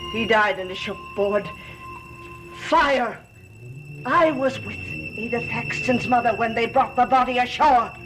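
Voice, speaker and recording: A woman's voice: fairly high pitch, unhurried, lightly acted, low-quality recording. Woman acting defensive gothic servant, protesting, earnest, old TV soundtrack, music behind. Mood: anxious, defensive. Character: anxious, defensive servant of a dark master. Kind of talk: gothic TV soap opera acting.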